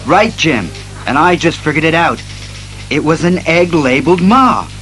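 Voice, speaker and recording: An actor playing an earnest, methodical masked detective hero who reasons aloud in a declamatory audio drama style. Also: male voice, a little high, expressive, fairly bright tone, soft background music, poor audio. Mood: earnest, resolute. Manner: deliberate, declamatory deduction.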